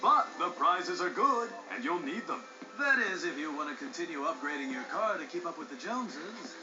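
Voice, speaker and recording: A flamboyant racing presenter, fairly high-voiced and wildly animated. He is grandiose and sly, a hammy video game showman, heard over music in a slightly muffled mix. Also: moderate pace. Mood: slick, sly. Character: flamboyant, vain male racing show host. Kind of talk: showy announcer acting in game cutscene. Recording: dull game audio with music behind.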